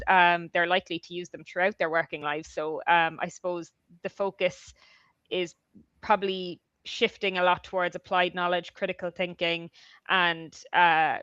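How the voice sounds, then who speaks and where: A woman with a low, smooth voice speaks on a webinar as a research lead. She is measured and thoughtful, and a little hesitant. Her audio comes through a video call, dull and dry. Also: a bit bright, no room sound. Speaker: head of research, guest speaker. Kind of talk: webinar presentation and discussion.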